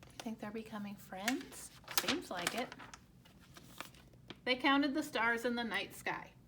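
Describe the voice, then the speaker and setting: A woman's voice: on the high side, lively intonation, unhurried, very noisy. A woman teacher narrating a picture book expressively as she reads aloud to children.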